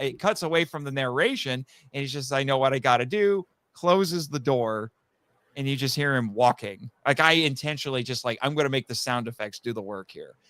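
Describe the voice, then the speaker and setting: A man talking casually as a guest about his writing, telling stories in an animated way and acting out quotes. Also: medium pitch, no room sound. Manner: animated storyteller, acts out quotes. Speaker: guest, audio drama writer. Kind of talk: casual chat.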